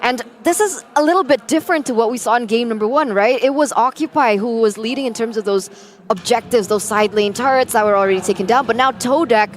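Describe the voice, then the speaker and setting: A woman's voice: a little high, fast. A woman commentating on a live esports game in a conversational, analytical way, often checking with a tag question. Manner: conversational, often ends with "right?".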